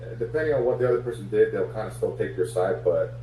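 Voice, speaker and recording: Low mellow comedian guy musing casually, roomy echo, faint hum. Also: quick pace. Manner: reflective, self-analytical, many fillers. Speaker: comedian guest. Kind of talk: casual interview chat. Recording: echoey room, distant mic, faint hum.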